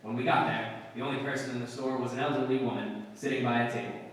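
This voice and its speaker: A man playing a terse, dry, matter-of-fact police sergeant in 1950s radio drama style, with clipped deadpan delivery. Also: mid-range voice, fast talker, natural voice. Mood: flat, official. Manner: clipped, deadpan questioning and reporting. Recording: very echoey hall, distant mic, music.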